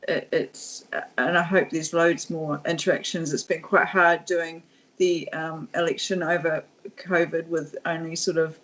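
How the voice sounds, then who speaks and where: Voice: medium pitch, little pitch movement, unhurried, a bit bright. Earnest New voice, rambling. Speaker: guest on a livestream. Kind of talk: casual livestream chat. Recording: dull lo-fi stream audio.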